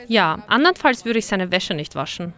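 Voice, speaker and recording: A woman's voice: mid-range voice, big pitch swings, normal speed, bright, forceful tone. A woman voicing a dubbed interview with a dreamy young laundromat customer, reflective and conversational.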